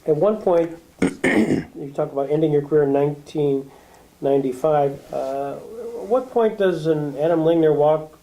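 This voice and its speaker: Man interviewer with a dark, mellow voice. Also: on the high side, poor audio. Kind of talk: interview questions.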